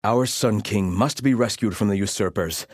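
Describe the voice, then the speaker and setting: An actor playing a zealous, grumbling soldier loyal to a fallen king, delivering video game lines with pompous devotion and gruff scorn. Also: fairly low pitch, normal speed, a little mellow, no echo, clean studio sound, natural voice. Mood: solemn, scornful. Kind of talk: video game voice lines, battle barks and muttered remarks.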